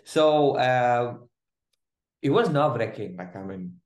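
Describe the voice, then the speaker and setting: A man telling a lively personal story as a guest in a casual interview, often laughing as he speaks. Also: mid-range voice, slow. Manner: animated, laughing, restarts while telling a story. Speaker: guest, groom-to-be. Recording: roomy echo.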